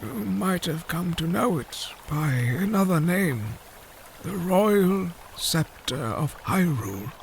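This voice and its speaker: An elderly, learned royal advisor of a fish people, speaks in a high voice. He is formal and grave, taking his time over every phrase like a keeper of old lore. It is fantasy audio drama, with faint noise in the background. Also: lively intonation, vocal fry, full character voice. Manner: formal, deliberate, solemn storyteller.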